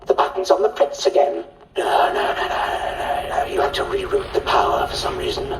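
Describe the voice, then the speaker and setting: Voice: extremely high pitch, very animated, fairly quick, noisy background. An actor voicing a dotty, cheerfully callous scientist in a video game, with a patronising, absent-minded manner, over an intercom. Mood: mildly dismayed, then flustered. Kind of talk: video game character acting. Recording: roomy distant intercom, music behind.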